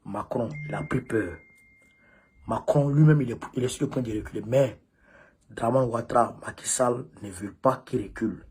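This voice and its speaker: A male political commentator speaks in an informal monologue with a West African French accent. His voice is husky and a little rough, at a middle pitch. He is emphatic, repeating phrases to drive them home. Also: moderate pace, a little mellow.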